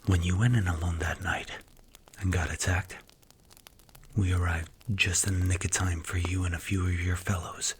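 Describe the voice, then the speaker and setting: A man playing a strict, commanding mafia boss in an intimate ASMR roleplay, speaking softly and breathily with stern, blunt, measured delivery. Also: low-pitched, little pitch movement, slight background noise, lightly acted. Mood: somber, serious.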